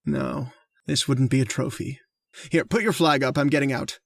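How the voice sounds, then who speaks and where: A man's voice: mid-range voice, steady tone, normal speed, creaky, a bit bright, no room sound, studio quality. An actor playing an uneasy, hesitant man who hunts his victim, in old-time radio drama style. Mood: troubled, conflicted.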